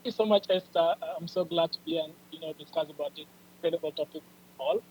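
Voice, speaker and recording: A man in his twenties with an East African accent, telling his own story as a panelist on a video call, eager and halting. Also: a little high, a little mellow, a little hiss or hum, low-quality recording. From South Sudanese, raised in Ethiopia and Kenya. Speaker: panelist, youth advocate. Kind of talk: personal storytelling in a panel answer.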